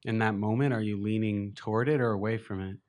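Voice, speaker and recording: Low-voiced man guiding a meditation. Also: steady tone. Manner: gentle, unhurried, with long pauses. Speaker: meditation teacher. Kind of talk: guided meditation instruction.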